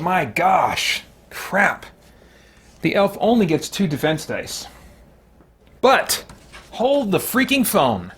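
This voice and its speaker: A man's voice: a little high, slight background noise. A man hosting a solo tabletop game, giving casual play-by-play and thinking aloud with mild exclamations and chatty asides to himself.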